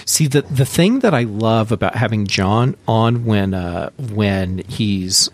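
A man's voice: fairly low pitch, lively intonation, slow pace, no echo. A man hosting a casual podcast, chatting with his co-hosts and explaining news step by step. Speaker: podcast host. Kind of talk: casual tech podcast chat.

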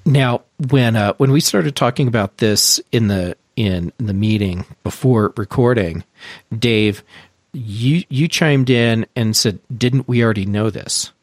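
A man's voice: lowish voice, measured pace, no room sound, clean studio sound. A man hosting a casual podcast, chatting with his co-hosts and explaining news step by step. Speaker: podcast host. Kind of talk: casual tech podcast chat.

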